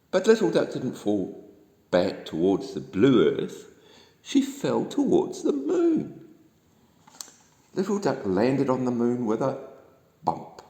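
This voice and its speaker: A man with a New Zealand accent reads a children's bedtime story aloud in an expressive, dramatic storytelling manner. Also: medium pitch. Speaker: storybook reader. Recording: echoey room with long reverb.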